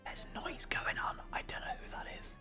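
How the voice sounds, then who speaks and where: A woman speaking in a breathy, unvoiced whisper, as a quiet aside. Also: moderate pace, over loud music.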